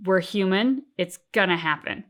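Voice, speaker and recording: A woman co-hosting a reaction show, talking casually and thoughtfully, with deliberate, emphatic phrasing. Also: medium pitch, expressive. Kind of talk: casual reflective discussion.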